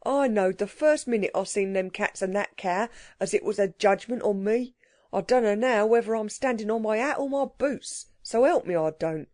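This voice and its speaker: A woman voicing a rough working-class male burglar, talking in slangy, grumbling dialect. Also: mid-range voice, expressive, normal speed, darkish tone, dry recording, studio quality, lightly acted. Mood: flustered.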